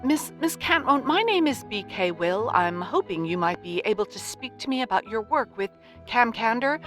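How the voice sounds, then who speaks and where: A woman playing an earnest investigative podcast host, delivering scripted narration in a mock documentary audio drama. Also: mid-range voice. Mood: nervous.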